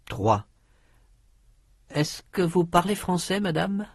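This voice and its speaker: A man reading drill questions for a language course, in slow prompts with lively intonation. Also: high voice, slightly rough, dry recording, clean studio sound.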